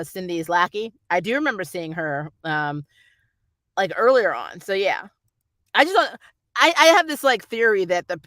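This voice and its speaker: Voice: mid-range voice, a bit bright, dry recording, studio quality. A vlogger chatting off the cuff in a rambling, opinionated way, like a fan giving a review. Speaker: YouTube reviewer reading and answering viewer comments.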